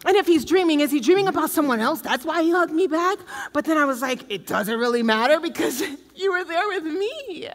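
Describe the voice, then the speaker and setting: A woman's voice: high-pitched, expressive, a little fast, few pauses. A woman playing a giddy, clingy, lovestruck young woman in a comedic stage play, gushing breathlessly and melodramatically. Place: theatre stage, indoors. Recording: noisy stage recording from far off.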